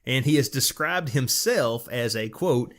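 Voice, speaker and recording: A man's voice: medium pitch, lively intonation, unhurried. A middle-aged man, co-host of a casual podcast, reading odd news stories aloud and chuckling. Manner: chuckling, amused storyteller.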